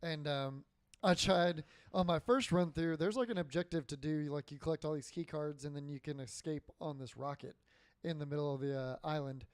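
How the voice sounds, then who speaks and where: A man co-hosting a casual gaming podcast, his voice hoarse and dry, sitting a little higher than most men's. He rambles through lots of fillers and swearing. The sound is dry and close, with no room in it. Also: fairly even intonation, normal speed, dry recording. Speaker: podcast co-host.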